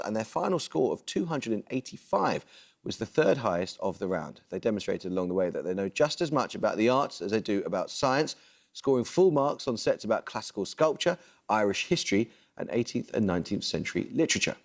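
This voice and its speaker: A middle-aged man hosting a quiz show in a television studio, reading questions in a measured, precise, formal way on a close broadcast mic. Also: fairly low pitch, animated. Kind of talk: scripted quiz presenting.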